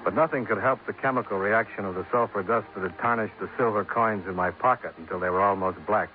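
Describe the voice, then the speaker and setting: An actor playing a cool, tough American investigator, clipped and sardonic, in 1950s radio detective style. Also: male voice, fairly low pitch, fast, lightly acted. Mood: wry, matter-of-fact. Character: cool, wry, tough male insurance investigator. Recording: noisy, narrow-band 1950s radio recording.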